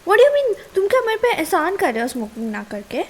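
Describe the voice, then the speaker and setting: A woman's voice: very high-pitched, wildly swooping pitch, fairly quick, full character voice. A young woman with an Indian accent playing a caring but easily exasperated, nagging girlfriend in a casual ASMR roleplay, mixing two languages. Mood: angry, sarcastic. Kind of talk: ASMR girlfriend roleplay, Hindi mixed with English. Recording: hiss and rain sound behind.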